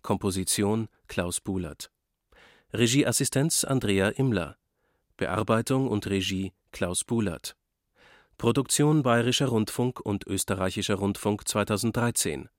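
A man's voice: lowish voice, a little slow, no room sound, clean studio sound. A man reading scripted radio play credits as an announcer.

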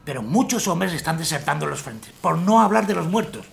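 A man playing a blunt, fatalistic army colonel, with a gravelly voice. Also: a little high, sing-song, brisk, full character voice. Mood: grim. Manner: curt, grim.

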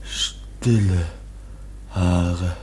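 A man's voice: sits low, steady tone, a little mellow, slight background noise. An actor playing a timid teenage foundling boy in a 1950 radio play, speaking in broken, stammering, childlike phrases. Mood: hesitant, earnest. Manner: halting, broken, simple childlike speech with stammers. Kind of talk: 1950 German radio drama acting.

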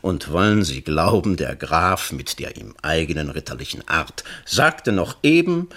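Gravelly, deep-voiced oily political schemer. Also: male voice, very animated, a little slow, a bit bright, heavily acted. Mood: unctuous, persuasive. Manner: florid, unctuous, rhetorical. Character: oily, scheming male political go-between flattering his mark. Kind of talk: German radio play acting.

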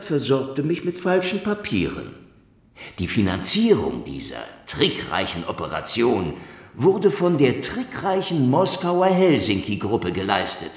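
Deep-voiced cynical hardboiled detective. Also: male voice, breathy edge, full character voice. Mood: defiant, taunting. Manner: wry, sarcastic, dramatic delivery. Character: cynical hardboiled Soviet secret police detective, sardonic, defiant. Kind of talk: hardboiled radio crime drama, first-person narration and dialogue. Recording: music behind the voice.

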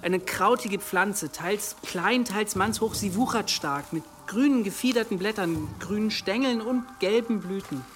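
An actor playing an earnest young monk in a radio drama, explaining things in a hesitant but knowledgeable way. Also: male voice, sits high, measured pace, few pauses, a bit bright, slight background noise. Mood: thoughtful, earnest.

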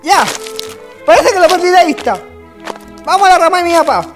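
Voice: extremely high pitch, expressive, fairly quick, soft background music. A performer playing a frightened, pleading young man on the run, with a Chilean accent, in a radio drama. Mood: panicked.

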